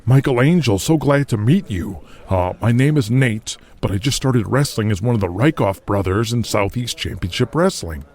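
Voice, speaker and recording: An actor playing brash, excitable young pro wrestlers trading slangy, sweary banter in an audio drama. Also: male voice, medium pitch, moderate pace, hardly any pauses. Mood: starstruck.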